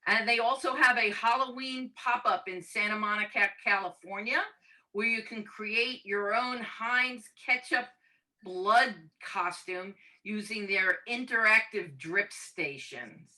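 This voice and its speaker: A woman's voice: medium pitch, unhurried, sharp tone, poor audio. A woman delivering a scripted mock news report over a video call. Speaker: club member giving mock news report.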